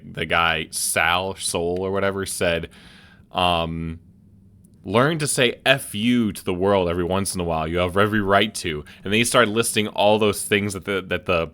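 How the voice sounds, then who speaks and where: A man's voice: low-pitched, sharp tone, clean tone. A man commenting casually on a game he is playing, rambling with many restarts. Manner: rambling, full of restarts and filler. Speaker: let's play streamer.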